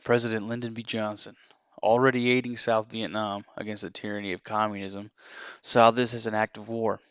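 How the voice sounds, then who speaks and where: A man's voice: on the low side, level tone, fairly quick, fairly bright tone, clean tone, dry recording, natural voice. A man playing an earnest, haunted former assassin confessing his story in an audio drama. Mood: earnest, intense.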